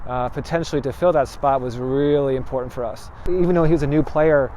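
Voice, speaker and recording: A soccer coach speaking in an interview, measured and thoughtful, with some fillers. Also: male voice, medium pitch, warm tone, a little hiss or hum, rough recording.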